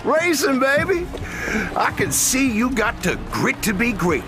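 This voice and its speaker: Very high-pitched showman, flamboyant. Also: very animated, measured pace, over music, heavily acted. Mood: gleeful, sly. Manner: folksy, exuberant, drawling showman patter. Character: flamboyant, food-obsessed wasteland race promoter, hearty male showman. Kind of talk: theatrical video game character acting.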